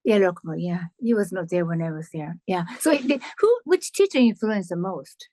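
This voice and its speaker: A woman hosting an interview, chatty and warm-paced, filling pauses with frequent yeahs and simple phrasing. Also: lowish voice, lively intonation, no echo. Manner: chatty, frequent yeah fillers, non-native phrasing. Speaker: interviewer host. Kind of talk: casual interview chat.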